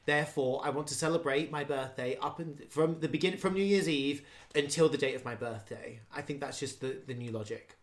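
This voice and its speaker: A man's voice: fairly high pitch, a little fast. A man of about thirty in a casual chat, wry and emphatic, repeating himself as he thinks aloud.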